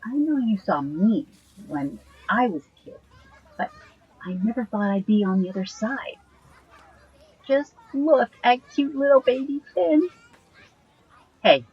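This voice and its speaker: A woman's voice: mid-range voice, lively intonation, unhurried, long pauses, husky, lo-fi sound. A woman playing an earnest, tender magic-user in an audio drama, speaking in a hesitant way.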